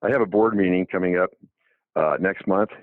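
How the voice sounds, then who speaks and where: Older man, deep voice on a phone line. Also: brisk, no room sound. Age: 70s. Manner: rambling, many restarts and fillers. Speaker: doctor. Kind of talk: casual radio talk. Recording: phone-like dry narrow line.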